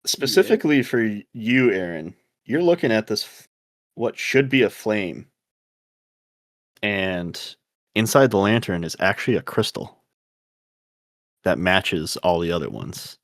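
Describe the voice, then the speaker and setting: Guy running a dnd style game, lowish voice, super informal and chatty, dry sound. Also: animated, unhurried, pauses often, dry recording. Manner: informal, slangy, conversational. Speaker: game master. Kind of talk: casual tabletop game narration.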